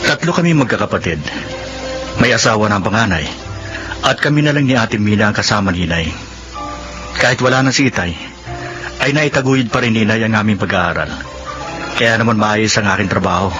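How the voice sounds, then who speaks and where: An actor playing a glib young spendthrift bachelor with a Filipino accent in a radio drama. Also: male voice, lowish voice, fairly quick, pauses often, dull audio, music in the background, close to an everyday voice. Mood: confessional. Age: young adult. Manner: glib, excuse-making. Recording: noisy radio drama recording.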